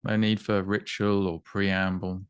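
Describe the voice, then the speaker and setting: A man with a fairly low voice leads a body scan meditation. He gives gentle instructions in short phrases, unhurried, with long silences between them. The sound is dry, with no room around it. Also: level tone, clean tone, no room sound. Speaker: meditation guide.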